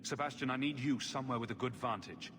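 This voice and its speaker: An actor playing a confident, wry champion who leads companions in a fantasy video game. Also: male voice, medium pitch, fast, fairly bright tone, in a large hall, a little hiss or hum, natural voice. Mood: commanding. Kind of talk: video game cutscene acting.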